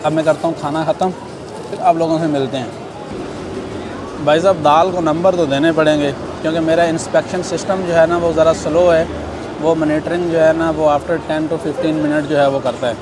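Tired pakistani guy w vlogging by a highway, clip mic, traffic going by. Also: fairly even intonation, moderate pace. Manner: chatty, fills talk with "jo hai na", addresses viewers as brothers. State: tired after an all-night ride. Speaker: travel vlogger. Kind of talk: casual travel vlog to camera. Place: outdoors by a highway and in a roadside restaurant. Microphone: clip-on mic, selfie camera at arm's length. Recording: outdoor traffic noise behind.

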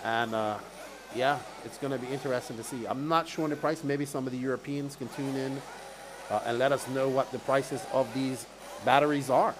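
A male race commentator with a Bermudian accent chats casually to a live stream. His voice sits at a middling pitch. Background noise from the track is faintly audible behind him. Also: fairly even intonation, normal speed. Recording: clear background noise, outdoor event ambience.

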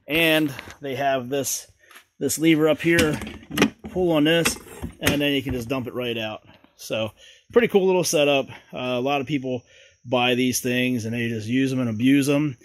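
A man's voice: medium pitch, no echo. A man hosting a home repair video, talking casually and folksy as he works in a garage. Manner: relaxed, folksy, chatty with fillers. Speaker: DIY video host. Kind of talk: casual how-to explanation.

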